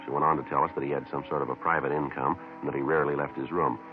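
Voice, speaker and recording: An American actor playing a terse, deadpan police detective in a 1950s radio drama, polite and businesslike in clipped sentences. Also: male voice, extremely low pitch, rapid-fire, soft background music. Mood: matter-of-fact. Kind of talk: 1950s radio drama acting with first-person narration. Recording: narrow, noisy vintage 1950s radio recording.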